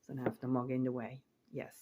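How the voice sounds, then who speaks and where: A woman's voice: extremely low pitch, little pitch movement, fast talker, dark tone, slight background noise. A woman in her forties from Switzerland, chatting casually to camera in her home office, rambling and repeating words as she trails off. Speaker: online reseller and vlogger.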